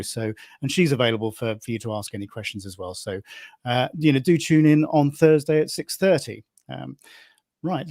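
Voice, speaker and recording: A man's voice: medium pitch, a little mellow, dry recording, clean studio sound. A middle-aged man hosting a live nature travel stream, rambling along with many restarts.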